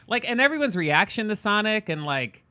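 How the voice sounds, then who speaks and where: A man's voice: high voice, big pitch swings, brisk, sharp tone, clear, resonant tone, no echo. A man co-hosting a podcast in casual, very informal chat peppered with "like" and the odd swear word. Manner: informal, sweary, heavy use of "like". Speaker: podcast co-host.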